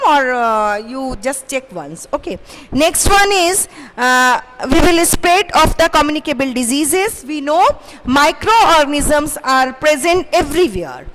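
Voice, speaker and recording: A young woman teacher with a Bengali English accent, giving an online science class to children, halting with many fillers and putting questions to pupils. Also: high voice, fairly bright tone. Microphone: microphone in front of her. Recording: roomy reverb, faint hiss.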